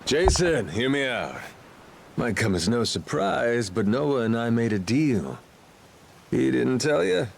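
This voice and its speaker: A man's voice: mid-range voice, sing-song, slow pace, gravelly, a little hiss or hum, heavily acted. An actor playing a brash, cocky but sympathetic roguish man in a video game. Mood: persuasive.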